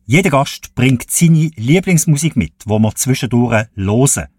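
A man's voice: mid-range voice, animated, measured pace, a little mellow, dry recording. A man hosting a radio interview, asking friendly, prompting questions. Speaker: radio host.

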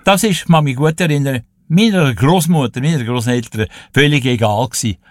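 A man's voice: mid-range voice, normal speed, dry recording, clean studio sound. An elderly Swiss German man in his eighties, a radio guest telling anecdotes from his life in a chatty, storytelling way. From Switzerland. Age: 80s. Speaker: radio show guest and music presenter. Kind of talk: casual interview storytelling.

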